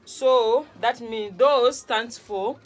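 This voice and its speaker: A woman's voice: on the high side, extremely slow. Young Kenyan woman, casual explainer. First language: Luo. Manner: repetitive, conversational, checks understanding with tags. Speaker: vlog host teaching phrases. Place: small room at home, on a couch.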